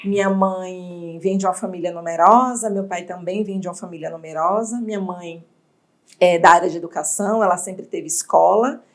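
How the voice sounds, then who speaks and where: A woman from Bahia, an entrepreneur telling her story in an interview, reflective and expressive. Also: lowish voice, moderate pace. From Bahia, Brazil. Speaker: entrepreneur guest.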